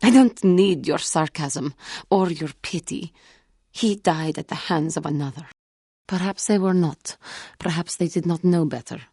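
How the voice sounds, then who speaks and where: Casting: female alien Jedi warrior for a game, earnest and wounded, low mellow voice with a husky grain, formal and measured. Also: no echo, studio quality. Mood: defensive, then bitter, grave. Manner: formal, measured, grave phrasing with few contractions. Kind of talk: video game character dialogue.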